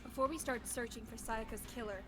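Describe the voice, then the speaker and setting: A woman's voice: fairly high pitch, fairly even intonation, a little fast, music in the background. A woman chatting casually with friends and reading game dialogue aloud.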